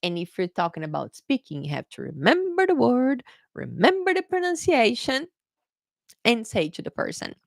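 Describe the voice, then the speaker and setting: A woman's voice: on the high side, huge pitch swings, moderate pace, gravelly, no room sound, clean studio sound. A young adult woman from Brazil with a Brazilian Portuguese accent, an English teacher chatting through a live-streamed exam prep lesson, repeating key points and calling viewers "guys.".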